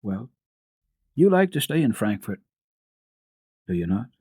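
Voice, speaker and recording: Storybook doctor played by a man: kindly, soothing, measured, slightly low and husky. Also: big pitch swings, no room sound, studio quality, exaggerated voice. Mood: probing. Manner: gentle, measured, reassuring questioning. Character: kindly male family doctor, calm, soothing, quietly probing.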